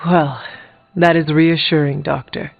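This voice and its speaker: A stoic, higher-voiced warrior hunter. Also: male voice, flat delivery, gravelly, no room sound. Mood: reserved, courteous. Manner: formal, reserved, deliberate. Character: stoic, guarded male demon-hunting warrior, formal and grave. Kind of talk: scripted video game character dialogue. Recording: tinny band-limited game audio over music.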